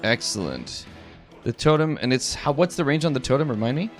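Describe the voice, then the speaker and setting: A man's voice: mid-range voice, big pitch swings, fairly quick. A man running a live tabletop role-playing game as game master, switching between narration and rules talk. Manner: hums and mutters while working out rules. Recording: music playing behind the voice.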